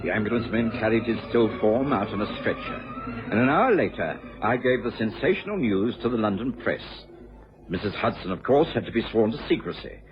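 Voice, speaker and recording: An actor playing an earnest, gentlemanly doctor, the loyal companion of a detective, in an old-time radio drama. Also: male voice, fairly low pitch, background noise, lightly acted. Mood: composed, storytelling. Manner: measured, expressive storytelling, easily flustered. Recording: muffled old radio recording.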